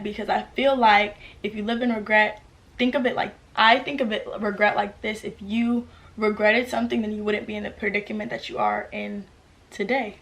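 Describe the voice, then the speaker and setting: A young woman of nineteen from the US talks straight to camera, answering fan questions. Her voice sits at an ordinary middle pitch, and her chat is casual and bubbly, with plenty of "like". She sounds close to a camera mic in a room at home. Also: gravelly. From United States. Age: late teens. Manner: chatty, upbeat, lots of "like" fillers. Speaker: YouTuber. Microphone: camera mic with ring light.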